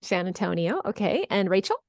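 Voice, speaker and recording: A woman's voice: mid-range voice, big pitch swings, brisk, a little mellow, no echo. A middle-aged woman from California hosts an online session in a chatty, encouraging way. She repeats answers back and reads out comments, with a close, dry sound and a mid-range voice. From California, United States. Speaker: webinar host. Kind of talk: casual moderated chat, reading out audience comments. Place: online video call.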